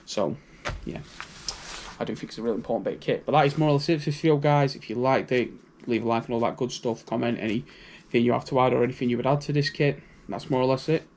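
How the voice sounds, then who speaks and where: A man's voice: medium pitch, fast, darkish tone, slight background noise. A man with a British accent giving a casual, rambling walkthrough of his gear, often adding "you know". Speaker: prepping channel host.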